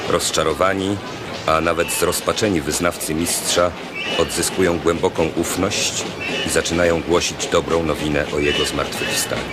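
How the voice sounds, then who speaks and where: A man narrating a historical radio drama in a measured, grave manner. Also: extremely low pitch, fairly even intonation. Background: music.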